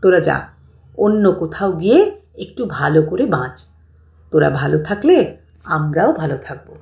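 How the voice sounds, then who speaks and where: A woman's voice: low voice, big pitch swings, a little slow, creaky, darkish tone, pitched below the performer's own voice, full character voice. A woman voicing an affectionate, frank elderly grandmother in a story, tender and coaxing toward her grandson. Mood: reassuring. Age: older. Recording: roomy, distant mic, music bed.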